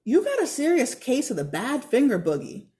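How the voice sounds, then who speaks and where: A woman's voice: high voice, wildly swooping pitch, normal speed, warm tone, voice pushed up. A sassy young girl from a storybook, played by a woman reading aloud. She speaks playfully, with a teasing edge. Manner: playful, taunting.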